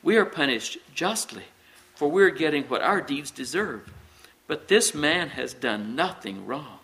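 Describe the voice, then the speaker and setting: A man voicing a humble, earnest condemned criminal in a scripture story, speaking in a hushed, slow, grave way. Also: a little high, animated, low-quality recording. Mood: humble, pleading.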